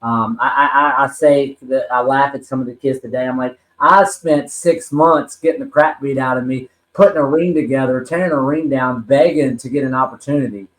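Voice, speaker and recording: A man's voice: mid-range voice, steady tone, moderate pace, hardly any pauses, fairly bright tone. Man, forties, earnest rambling storyteller, roomy echo. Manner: earnest, rambling storyteller with many ums and restarts. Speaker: podcast guest, wrestler and talent manager. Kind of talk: personal story in a podcast interview.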